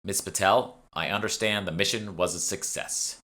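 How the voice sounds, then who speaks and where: Man reading as officious, deep-voiced guild secretary. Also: fairly even intonation, bright, forceful tone. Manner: officious, measured, formal wording. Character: formal, procedural male guild secretary running a meeting. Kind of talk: audiobook character dialogue.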